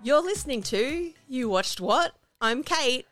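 Chatty Australian woman, slightly husky. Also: high-pitched, animated, a little slow, a bit bright, soft background music. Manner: chatty, rambling, self-deprecating. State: slightly husky after laryngitis. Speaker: podcast co-host. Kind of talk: casual chat. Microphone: home recording over video call.

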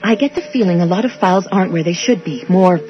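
An actress playing an earnest, suspicious young wife and dental assistant, speaking insistently in a radio drama. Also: female voice, fairly low pitch, quick pace, soft background music, close to an everyday voice. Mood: suspicious, planning. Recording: dull lo-fi old radio broadcast.

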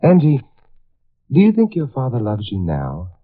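An actor playing a suave, wry amateur detective in a 1950s radio drama, with an urbane, probing manner. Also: male voice, mid-range voice, wildly swooping pitch, moderate pace. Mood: earnest, pressing. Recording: tinny band-limited vintage radio recording.

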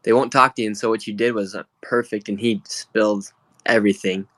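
Young male reporter guest, somewhat low, plain and earnest. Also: fairly even intonation, brisk, a bit bright. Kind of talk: live interview.

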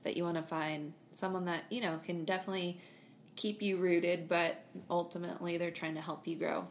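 A woman's voice: fairly low pitch, steady tone, fairly quick, slight background noise. A woman co-hosting a casual faith-based podcast, speaking in an encouraging way with playful banter. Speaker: podcast co-host.

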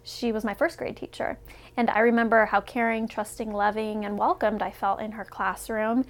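A woman hosts a podcast interview in a relaxed, conversational way. Her voice sits a little high, and she fills the talk with friendly, chatty asides and easy laughter. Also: normal speed.

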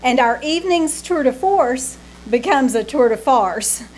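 A woman with a high voice hosts a vintage-style radio drama. She delivers a scripted star introduction from a live stage, with slight noise behind her. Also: lively intonation, measured pace, a little mellow, poor audio.

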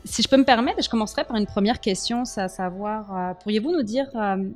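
A woman's voice: mid-range voice, brisk. Woman, podcast host, polite, hesitant, formal interviewing, faint music underneath. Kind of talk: formal interview with prepared questions.

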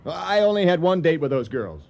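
An actor playing a boyish, touchy rival restaurateur in a radio comedy, stammering and defensive. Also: male voice, on the high side, very animated, normal speed, creaky, a little mellow, muffled recording. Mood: indignant. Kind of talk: 1980 radio theater comedy acting.

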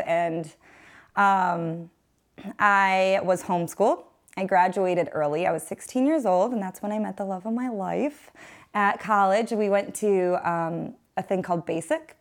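A talkative woman in her twenties chats as a podcast guest, warm and outgoing, often breaking into laughter. Her naturally big voice is reined in to a calm, friendly tone, sitting at a middle pitch. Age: 20s. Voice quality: loud voice held back to a calm tone. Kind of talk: casual chat.

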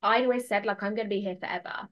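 A woman's voice: medium pitch, fairly even intonation, rapid-fire, dull audio. A woman speaking as a podcast guest in a casual, conversational way, earnest and emphatic, repeating phrases for stress. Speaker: podcast guest, personal transformation mentor.

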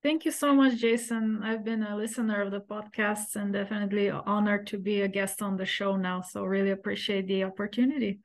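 A middle-aged woman from Bulgaria, a podcast guest answering questions in an articulate, measured way with frequent pauses. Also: medium pitch, steady tone. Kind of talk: interview answers.

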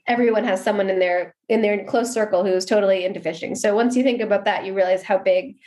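A woman's voice: medium pitch, fairly even intonation, fast, darkish tone. American woman explaining with easy confidence. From Seattle, USA. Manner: friendly, explanatory, occasional restarts. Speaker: guest, marketing executive. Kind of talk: casual interview answers.

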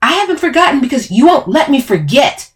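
A woman's voice: fairly high pitch, animated, brisk, darkish tone, distant mic, pitched above the performer's own voice, close to an everyday voice. A woman voicing a wounded, defiant woman who snaps back incredulously in dramatic audiobook dialogue. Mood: shouting, defiant.

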